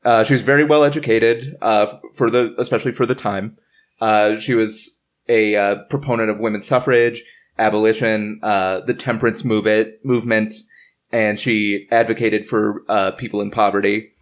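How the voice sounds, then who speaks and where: A man's voice: lowish voice, fairly even intonation, moderate pace. A man hosting a podcast, chatting casually as he reads from notes, with wry, joking asides. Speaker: podcast host. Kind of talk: casual informative chat, reading from notes. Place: in-person group around a table.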